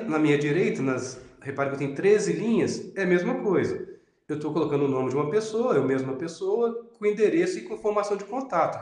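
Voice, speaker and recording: Brazilian man teaching in an echoey room. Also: fairly high pitch. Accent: Minas Gerais Portuguese. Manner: relaxed, repeats "né?" and "tá?" often. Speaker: web programming teacher. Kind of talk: recorded lecture over slides.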